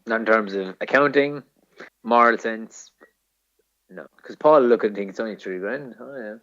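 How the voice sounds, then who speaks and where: A man co-hosting a comedy podcast, bantering casually in a halting, mock-serious accountant's manner. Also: fairly low pitch, fairly bright tone. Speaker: podcast co-host. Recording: slightly dull, muffled recording.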